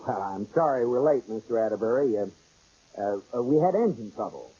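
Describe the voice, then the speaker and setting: A man's voice: medium pitch, very animated, fairly quick, mellow, breathy edge. An actor playing a long-suffering husband in a 1940s radio sitcom, polite to company and exasperated with his wife. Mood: apologetic. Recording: dull, noisy old radio recording.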